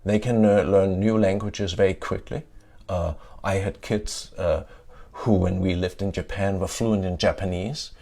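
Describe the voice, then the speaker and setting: Low gravelly Danish-accented man. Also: steady tone, measured pace, mellow. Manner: adds "yeah?" to check in with listeners; frequent "uh" fillers and restarts. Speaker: conference speaker. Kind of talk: tech conference talk. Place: conference stage.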